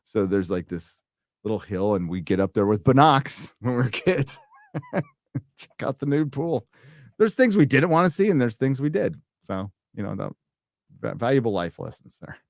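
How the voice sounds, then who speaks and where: A man's voice: medium pitch, expressive, moderate pace, creaky, dry recording, studio quality. A middle-aged man hosting a casual live show, laid-back and swearing freely, reading donations and laughing often as he talks. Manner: laughs often mid-sentence. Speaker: podcast host. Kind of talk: casual live-stream chat.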